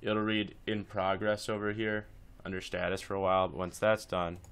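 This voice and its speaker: A man's voice: low voice, flat delivery, normal speed, a bit bright, smooth. A man presenting a casual screencast tutorial, talking informally through each step as he instructs.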